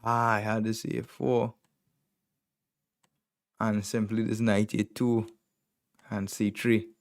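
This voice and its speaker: Chess master with a Trinidadian accent, a man with a lowish voice, thinking aloud through moves in short bursts. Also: flat delivery, takes it slowly. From Trinidad and Tobago. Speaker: chess master streaming game reviews. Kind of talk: live commentary on chess games.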